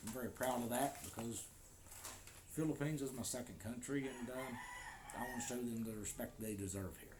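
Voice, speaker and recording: A man's voice: mid-range voice, normal speed, background noise. Easygoing American man joking on a vlog. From United States. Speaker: vlogger. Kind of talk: casual vlog talk to camera. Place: home in the Philippines.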